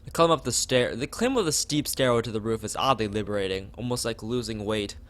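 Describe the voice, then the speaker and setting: A man's voice: mid-range voice, animated, a little fast. A man reading visual novel text aloud as a let's player, often stumbling and rereading phrases. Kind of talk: reading game text aloud, narration and dialogue. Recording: guitar music behind the voice. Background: loud guitar game music.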